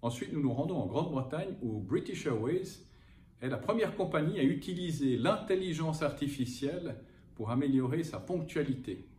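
A middle-aged man hosting a travel news show, introducing segments with lively emphasis from a room overlooking an airport. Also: on the high side, normal speed. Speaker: host. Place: indoors by a window over an airport. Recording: roomy, from a distance, faint noise.

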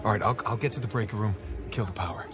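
A man's voice: lowish voice, fast talker, over music, lightly acted. A man in his thirties acting an eager, cocky younger treasure hunter in a cinematic video game, with casual, persuasive delivery. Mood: focused, brisk. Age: 30s.

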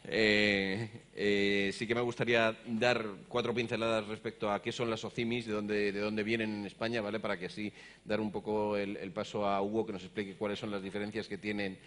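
A man's voice: fairly low pitch, little pitch movement, fairly quick, fairly bright tone. A middle-aged man moderating a conference panel, explaining background in a hesitant way, with many repeated words and fillers. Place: conference stage, seated in an armchair.

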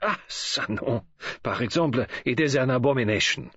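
A man's voice: mid-range voice, expressive, fairly bright tone, lightly acted. Man doing a fussy old foreign detective. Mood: fastidious. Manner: precise, formal, slow and mournful, French phrases. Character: fastidious elderly male foreign detective. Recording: dull lo-fi audiobook recording.

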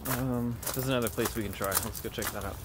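A man's voice: mid-range voice, quick pace, heavy background noise. A young man casually vlogging, grumbling to the camera while searching a cluttered shed and heading out in the rain, filmed at arm's length. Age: young adult. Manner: laid-back, grumbling asides to camera. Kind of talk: casual vlog chat. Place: cluttered storage shed, then outdoors in rain. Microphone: handheld camera at arm's length. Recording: loud background music.

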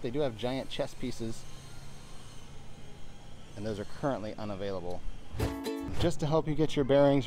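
Man narrating a walking tour. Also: medium pitch. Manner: informative, steady guide-like delivery. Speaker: resort tour vlogger. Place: outdoors and inside a resort, walking.

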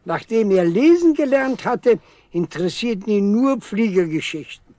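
A man's voice: high-pitched, lively intonation, dark tone, dry recording. An actor playing a weary, proud old village father in a 1970s German radio play, speaking hesitantly and plainly. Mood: tired, reflective. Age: older. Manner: hesitant, halting, plain-spoken.

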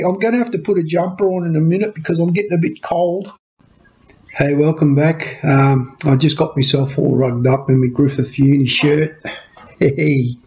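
Middle-aged Aussie man, high-ish voice, head cold, casual rambling tech vlogger, muffled webcam sound. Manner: chatty, repeats words, animated hand gestures. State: has a cold. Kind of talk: casual rambling explanation to camera. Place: small cluttered home workshop room. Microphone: webcam at desk. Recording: dull lo-fi webcam audio.

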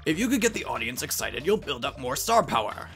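A man's voice: mid-range voice, sing-song, a little fast, fairly bright tone. A man voicing an earnest old professor creature from a video game, teaching battle moves in an instructive, courteous way. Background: game music.

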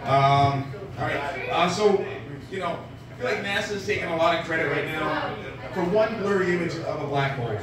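A man in his forties works through a stand-up set, delivering deadpan one-liners with lots of "uh" pauses, his voice a touch high. He is caught from the back of a small bar, so the sound is distant and very echoey. A rowdy crowd laughs and chatters around him. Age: 40s. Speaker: stand-up comedian. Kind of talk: stand-up set at an open mic. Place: small dim bar stage with a live audience. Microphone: handheld mic, filmed from far back in the room. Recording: echoey bar room heard from far off. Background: audience laughter and bar crowd.

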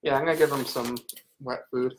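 A man's voice: medium pitch, steady tone, a little fast. A man in his thirties chatting casually from a few feet behind a webcam in a home dining room. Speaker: off-mic helper on a livestream. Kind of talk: casual chat. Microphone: webcam from a few feet away. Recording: noisy webcam audio, sitting back from mic.

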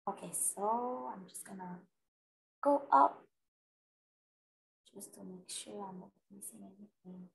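A woman's voice: mid-range voice, unhurried, vocal fry, long pauses, poor audio. French-accented woman presenting a webinar. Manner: explanatory, hesitant fillers, friendly. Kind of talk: live webinar Q&A. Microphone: webcam on video call.

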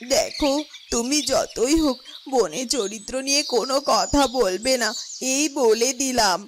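A woman's voice: a little high, unhurried, noisy background, lightly acted. A woman voicing a troubled, caring palace garden-keeper who muses to herself. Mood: indignant. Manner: musing, self-questioning.

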